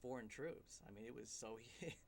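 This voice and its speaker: A middle-aged man, a guest filmmaker in a studio interview, explaining in a measured way with frequent ums and uhs. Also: medium pitch, creaky, a little hiss or hum. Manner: measured, explanatory, many fillers.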